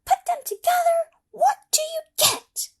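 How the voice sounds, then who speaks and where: A woman voicing a tiny, determined female fly who chants a cheer in a squeaky, shrill voice. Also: lively intonation, unhurried, full character voice.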